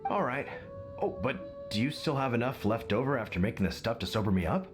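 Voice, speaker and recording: A man's voice: mid-range voice, expressive, brisk, over music, close to an everyday voice. A man playing a sheepish, placating middle-aged hunter father who likes his drink in a video game. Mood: relieved, practical.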